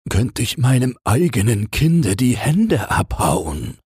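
Man acting a troubled, husky fairy-tale miller. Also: medium pitch, sing-song, slow pace, darkish tone, no room sound, studio quality, voice pushed up, exaggerated voice. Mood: horrified. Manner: earnest, pleading. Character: troubled, fearful miller, humble pleading father.

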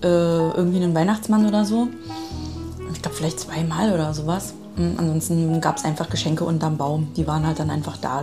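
A woman in a casual, informal chat, reminiscing in a reflective, colloquial way. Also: low-pitched. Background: music.

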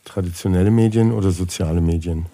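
A man's voice: low-pitched, fast, dark tone. A man hosting a podcast in a casual, conversational interview style. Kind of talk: casual chat and short questions.

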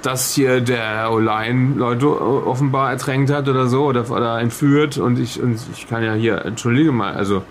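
A man's voice: mid-range voice, normal speed, few pauses, slight background noise, natural voice. A man playing a suspicious, flustered naval officer in an improvised audio drama, rambling and stammering officiously. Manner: rambling, stammering, officious, tagging sentences with questions.

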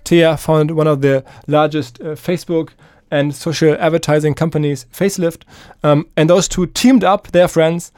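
A man hosting a podcast, giving a spoken introduction in a hesitant, halting way. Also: fairly high pitch, steady tone, a little mellow, smooth, no echo, clean studio sound. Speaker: podcast host introducing the episode.

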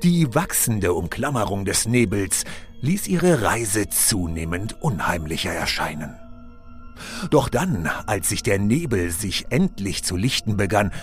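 A low male voice narrates a spooky fairy-tale audio play. His delivery is suspenseful and swings expressively in pitch, with music playing underneath. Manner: suspenseful storytelling.

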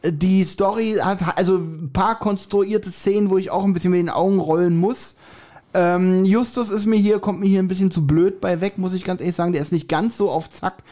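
A man's voice: sits high, fairly even intonation, normal speed, dark tone. A man chatting casually as a podcast co-host, giving blunt, colloquial opinions. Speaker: podcast co-host reviewing audio dramas.

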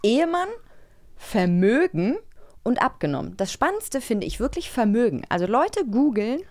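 A woman's voice: on the high side, very animated, normal speed, a little mellow. A woman co-hosting a podcast, asking questions in a casual, chatty style. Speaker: podcast co-host. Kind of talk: casual chat, interviewing.

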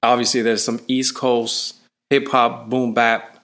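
A man from Canada, a touring musician, chatting in an interview and telling stories with rising excitement. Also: mid-range voice, measured pace. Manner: animated storyteller, voice rising when excited. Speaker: musician guest. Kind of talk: casual interview.

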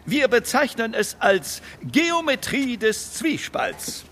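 An actor playing a pompous, arrogant art gallery guide who lectures condescendingly in a children's audio drama. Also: male voice, extremely high pitch, sing-song, takes it slowly, big-room sound, noisy background, full character voice. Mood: pompous lecturing.

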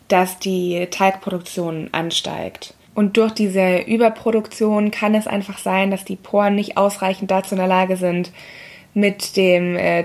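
Young female pharmacist-host, hoarse and scratchy, casual explainer with fillers. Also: mid-range voice. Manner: friendly, explains step by step with fillers. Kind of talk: casual explanatory podcast talk.

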